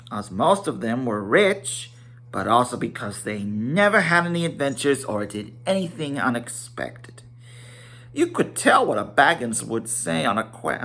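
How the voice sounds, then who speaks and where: Male reader, mid-pitched, slow pace, highly animated intonation, theatrical storybook delivery, occasional stumbles. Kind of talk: dramatic read-aloud of a children's fantasy novel.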